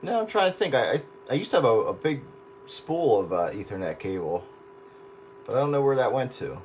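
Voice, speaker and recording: A man's voice: mid-range voice, big pitch swings, fairly quick. A man chatting casually over the radio, hesitant and wry, often searching for words. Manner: hesitant, self-deprecating, wry. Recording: radio audio with clear static.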